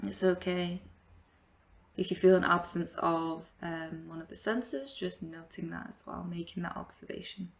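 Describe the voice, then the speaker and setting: Female meditation guide, lowish and very unhurried, gentle invitational phrasing with plenty of space. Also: steady tone, vocal fry. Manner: gentle invitational instruction with long pauses. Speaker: yoga and meditation teacher.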